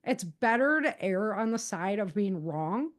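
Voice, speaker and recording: A woman's voice: mid-range voice, expressive, unhurried. A middle-aged American woman giving conversational interview answers in an earnest, emphatic and informal way. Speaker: podcast guest, author and self-defense teacher.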